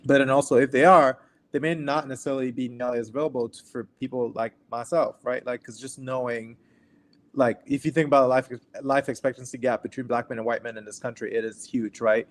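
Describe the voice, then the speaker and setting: A man's voice: medium pitch, steady tone, quick pace. A young man from Cameroon speaking as a physician guest in an interview, telling his story in an informal, conversational way. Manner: informal, conversational, often says "like" and "right?". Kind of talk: casual personal storytelling in an interview.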